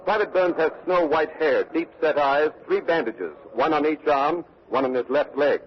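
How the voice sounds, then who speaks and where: A man's voice: on the high side, moderate pace, breathy edge. An actor playing an earnest male war correspondent, formal and urgent, in a 1940s radio news dramatization. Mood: tense, reporting. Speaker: radio reporter and interviewer. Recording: dull vintage radio broadcast recording.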